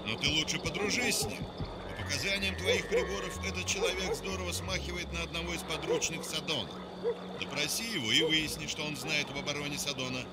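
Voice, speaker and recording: Строгий командир средних лет в исполнении актёра деловито даёт агенту указания, порой с сухой шуткой. Голос звучит чуть выше среднего, словно издалека, и его заметно перекрывает громкий шум. Это дубляж игры. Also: male voice, a little high, steady tone, a little fast, close to an everyday voice. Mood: briefing. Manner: briefing, instructive, dry humor. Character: stern middle-aged male mission commander. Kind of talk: Russian video game dub. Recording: distant radio-like voice under loud noise.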